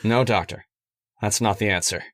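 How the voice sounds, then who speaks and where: A man playing a megalomaniac robot passing as human in an old-time radio horror drama, deliberate and grandiose. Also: on the low side, a bit bright, no room sound, clean studio sound, close to an everyday voice. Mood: menacing, triumphant. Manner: deliberate, grandiose villainous pronouncements. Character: megalomaniac robot disguised as a man, menacing and coolly certain.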